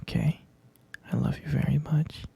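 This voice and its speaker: Man acting a breathy, gravelly, obsessive captor boyfriend. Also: a little high, level tone, mellow, no room sound, exaggerated voice. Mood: insistent, defensive. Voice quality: breathy, half-whispered. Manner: slow, cooing, coaxing, repeating himself. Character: obsessive, clingy male captor, sweetly menacing lover. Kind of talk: whispered ASMR boyfriend roleplay.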